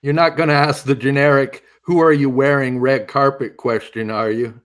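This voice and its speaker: A secretive retired superhero who deflects questions with dry wit, played by a man. His voice sits at an ordinary male pitch, and he speaks in a guarded, matter-of-fact way. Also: steady tone, normal speed, a bit bright, lightly acted. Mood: wry. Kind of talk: audio drama acting.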